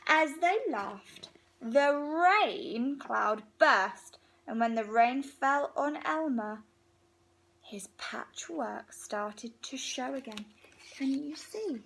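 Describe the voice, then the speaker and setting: A woman reading a picture book aloud to young children in an expressive, unhurried way, pausing between phrases. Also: high-pitched, gravelly, a little hiss or hum, poor audio.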